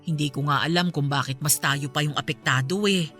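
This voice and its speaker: A woman's voice: low-pitched, brisk, fairly bright tone, soft background music. A woman playing an apologetic, impulsive middle-aged Filipino mother and friend in a radio drama. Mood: conciliatory.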